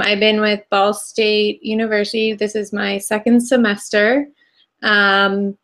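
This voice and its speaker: A woman's voice: medium pitch, fairly bright tone. A woman in her twenties from the United States, a student introducing herself for a group presentation. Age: 20s.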